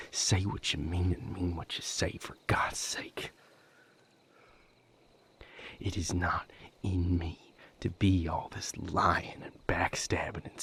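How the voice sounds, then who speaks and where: A man playing an intense, brooding vampire lover in an intimate audio roleplay, speaking in a gravelly, breathy near-whisper. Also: low-pitched, a bit bright, heavily acted. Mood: angry, defiant. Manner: slow, intimate, emotionally intense.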